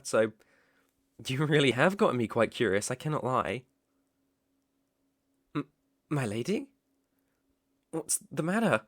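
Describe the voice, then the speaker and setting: A man's voice: mid-range voice, fairly bright tone, no echo, clean studio sound. A man with a British accent playing a devoted, proper butler in an intimate ASMR romance roleplay, polite, deferential and hesitant. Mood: curious, teasing. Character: young male butler, devoted, proper, flustered by love.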